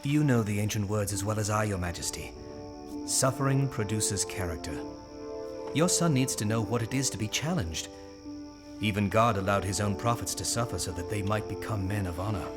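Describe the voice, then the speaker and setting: Male actor as steadfast knight, lowish voice, earnest formal speech, audio drama, music underneath. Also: animated, moderate pace, close to an everyday voice. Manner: solemn, formal, persuasive. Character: loyal, earnest, firm knight counselling his king.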